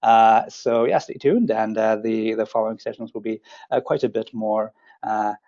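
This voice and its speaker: Halting man with a gravelly voice. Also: on the low side, mellow. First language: not English. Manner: halting, many fillers and restarts. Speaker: training program coordinator, webinar host. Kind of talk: hesitant webinar presenting. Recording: lo-fi webinar audio.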